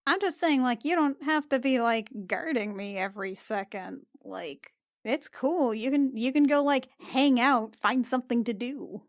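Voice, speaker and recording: A woman with a fairly high voice plays a tabletop roleplaying game. She talks in loose, slangy, improvised chat, and the recording is very dry. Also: a little slow, no room sound. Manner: slangy, conversational.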